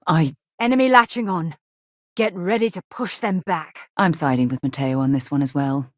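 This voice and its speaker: A woman playing an earnest, sharp-minded space explorer companion in a video game, speaking articulately and formally. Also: low-pitched, moderate pace, dry recording, clean studio sound. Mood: urgent. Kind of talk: scripted game dialogue acting.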